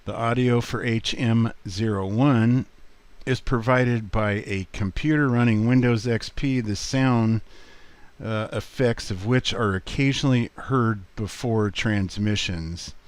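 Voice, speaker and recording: A man giving a casual screen walkthrough in a halting, unhurried way, as a video presenter. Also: medium pitch.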